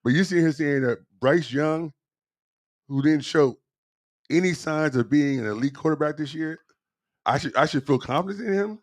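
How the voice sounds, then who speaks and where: A man's voice: a little high, moderate pace, no room sound. Man pressing hard in a sports argument. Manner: challenging, interrupts, repeats "listen" and "wait a second". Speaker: co-host. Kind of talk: heated sports debate.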